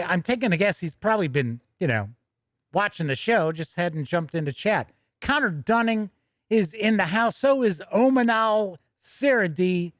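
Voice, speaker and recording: A man's voice: sits high, dry recording, clean studio sound. A man hosting a casual live stream, chatting to viewers in a folksy, easygoing way. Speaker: host of a tabletop gaming news live stream.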